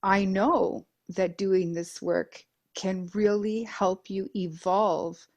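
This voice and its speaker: A middle-aged woman from Denmark, a guest on an interview, speaking earnestly about her own life, repeating phrases in lists and pausing with fillers. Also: medium pitch, fairly even intonation, a little mellow. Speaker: guest, Reiki master and life coach. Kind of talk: reflective personal interview.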